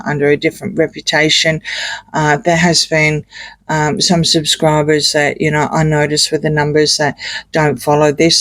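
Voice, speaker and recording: A man with a croaky voice, doing a rambling, hesitant tarot reading full of fillers and restarts. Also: fairly high pitch, fairly bright tone, no room sound. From Australia. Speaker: tarot reader. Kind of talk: casual tarot reading monologue.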